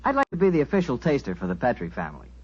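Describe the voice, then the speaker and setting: A man's voice: mid-range voice, wildly swooping pitch, rapid-fire, husky. An American man announcing in 1940s radio style, with folksy, upbeat sales patter. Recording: muffled 1940s radio broadcast recording.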